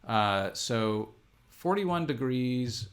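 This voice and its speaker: A middle-aged man giving an unscripted tutorial, thinking aloud in a halting, methodical way as he talks through each step. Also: mid-range voice, lively intonation, fairly bright tone. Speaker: instructor. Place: indoor room at a desk, computer screen recording.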